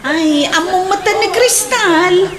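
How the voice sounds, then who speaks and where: A woman playing a chatty, opinionated friend in a radio drama, with an expressive delivery and an Ilocano accent. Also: extremely high pitch, slow pace, crowd noise. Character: lively working bride's friend, chatty, opinionated.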